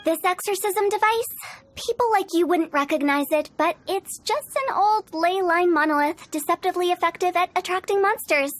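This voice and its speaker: A woman's voice: very high voice, moderate pace. An actress playing an eccentric, mischievous young female funeral director in a video game, talking in a theatrical, teasing, self-assured way. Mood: gleeful, teasing. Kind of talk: video game character acting.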